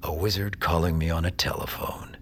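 Guy doing super low slow scary crime lord, audio drama, bossy and sardonic, noisy background. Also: fairly even intonation, lightly acted. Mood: dry, sardonic. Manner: terse, commanding, drily sardonic. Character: menacing vengeful male crime boss of shadowy magic henchmen. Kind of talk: audio fiction drama acting.

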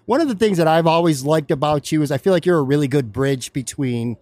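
Man, slightly higher voice, podcast host, casual rambling questions, dry close sound. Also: expressive, fast talker, mellow, dry recording. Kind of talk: casual interview chat. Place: bar or restaurant.

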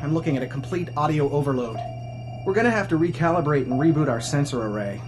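An actress playing a worried submarine crew officer in an audio drama, speaking in a tense, urgent manner. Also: female voice, low voice, expressive, fast, a little mellow, soft background music. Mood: tense, dismayed. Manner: urgent, tense, stammering under pressure.

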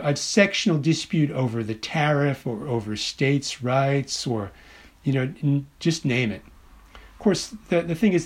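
Halting, scholarly man being interviewed. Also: on the high side, darkish tone. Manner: halting, repeats words and phrases emphatically. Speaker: interview guest, author and literature professor.

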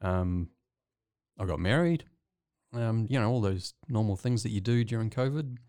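A man's voice: fairly low pitch, lively intonation, normal speed, warm tone, clean tone, no echo, clean studio sound. A man with a New Zealand accent chatting casually as an interview guest. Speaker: guest, visiting artist.

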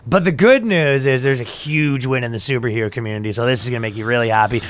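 A man's voice: medium pitch, fast talker. A man hosting a comedy podcast, riffing crude jokes in casual chat. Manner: crude, joking, riffing into bits.

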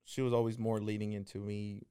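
A man's voice: fairly low pitch, level tone, a little fast, warm tone, smooth. A man in his thirties, a guest singer and DJ, talking casually in an interview with many restarts and fillers. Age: 30s.

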